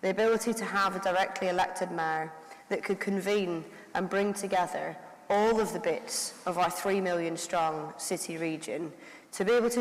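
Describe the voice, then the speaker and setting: A woman giving a prepared conference speech and introducing a keynote speaker, in a measured, formal delivery. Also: fairly low pitch. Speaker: council leader introducing the keynote. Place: large conference hall. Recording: long hall reverb.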